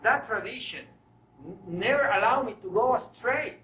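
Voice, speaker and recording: A man from Venezuela speaks in a high, bright voice, giving a spiritual lecture built on personal stories. He is animated, slipping into dialogue as he goes. The sound is roomy, picked up from a distance. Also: normal speed. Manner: animated storyteller acting out dialogue. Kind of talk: spiritual talk to an audience, personal storytelling. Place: room with an audience. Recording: roomy, distant mic, faint noise.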